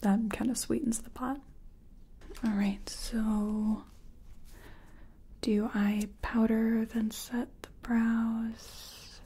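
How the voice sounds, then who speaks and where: Woman, soft-spoken ASMR makeup artist roleplay: breathy whisper with gravelly fry, hesitant, murmured delivery. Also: fairly high pitch, flat delivery, extremely slow, pauses often.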